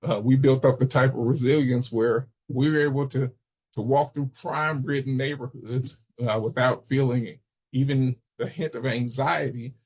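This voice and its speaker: An American man from Chicago giving an informal training webinar, explaining haltingly with personal asides. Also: medium pitch, steady tone, a little mellow, husky. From Chicago, United States. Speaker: webinar presenter, substance misuse prevention trainer.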